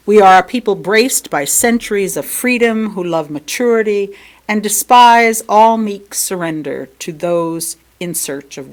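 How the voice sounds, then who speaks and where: American woman reading a sermon, measured delivery. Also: medium pitch. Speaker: lay speaker reading a sermon. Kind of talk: sermon read aloud from a script.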